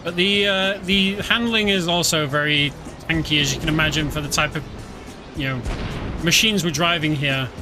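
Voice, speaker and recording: A man's voice: a little high, normal speed. A British man giving casual, chatty commentary while playing a game, explaining as he goes with many fillers. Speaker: game streamer. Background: game music.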